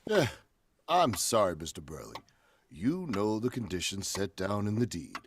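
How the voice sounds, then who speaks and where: A man's voice: lowish voice, big pitch swings, normal speed, a little hiss or hum. A man playing a smug real estate agent, condescending and a little hesitant, in 1940s radio drama style. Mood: wry, apologetic.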